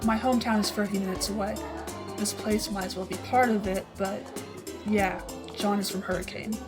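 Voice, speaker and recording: A woman's voice: mid-range voice, fairly even intonation, moderate pace, close to an everyday voice. A woman playing an earnest, brooding young woman, stubborn and withdrawn, in a dramatic comic dub. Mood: guarded. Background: music.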